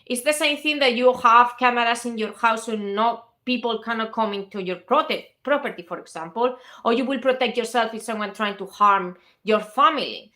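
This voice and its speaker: A woman from Spain with a Spanish accent argues her point in a fairly high, bright voice. She is insistent and keeps cutting in on the other speaker, laughing now and then. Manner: insistent, interrupting, laughs often. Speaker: vegan activist host. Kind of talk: heated debate.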